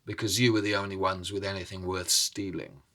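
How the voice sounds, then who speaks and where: A man telling a tale as a storyteller, in a measured, theatrical way with suspenseful pauses. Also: low-pitched, level tone, a bit bright. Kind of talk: dramatic oral storytelling over music. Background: instrumental music.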